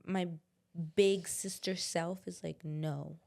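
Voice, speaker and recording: A woman's voice: on the low side, fairly even intonation, slow pace, creaky, a little mellow. Candid young woman podcast co-host. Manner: casual, slangy, confessional, drops into quoted inner voices. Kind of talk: candid casual chat.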